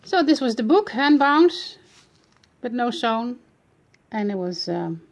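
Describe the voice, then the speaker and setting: Dutch-accented woman, casual narrator, unhurried and tentative. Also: fairly high pitch, very animated. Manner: hesitant, searching for words. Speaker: art journaling creator. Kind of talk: casual flip-through narration.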